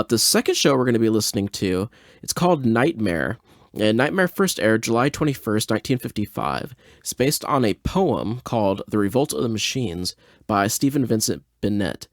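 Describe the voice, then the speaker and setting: Male podcast host: informal and rambling, lowish pitch, very dry close-mic sound. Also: no room sound. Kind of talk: casual, chatty show introduction.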